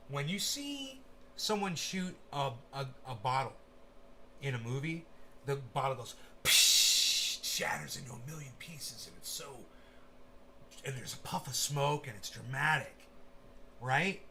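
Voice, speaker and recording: A man's voice: mid-range voice, big pitch swings, measured pace, sharp tone, a little hiss or hum. A man hosting a casual livestream, rambling and swearing freely while making sound effects with his mouth. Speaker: channel host.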